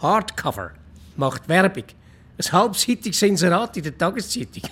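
A village councillor in a radio drama, speaking in a slightly high, husky voice. He gossips casually and drily, with a Swiss German accent. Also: lively intonation, normal speed, fairly bright tone, full character voice. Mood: matter-of-fact. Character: matter-of-fact, wryly amused village councillor man. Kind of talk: conversational radio play acting.

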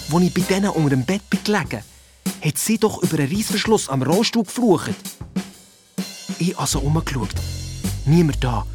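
Excitable, boastful boy sleuth played by a male actor, Swiss German accent, breezy and blunt, children's radio play with clear music bed. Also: a little high, lightly acted. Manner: breezy colloquial storytelling, blunt and bickering. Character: excitable, boastful young boy detective telling his adventure. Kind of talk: children's audio drama narration.

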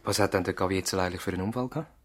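An actor playing an inquisitive, courteous visiting doctor in a conversational radio drama. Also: male voice, deep voice, racing, breathy edge. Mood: inquiring.

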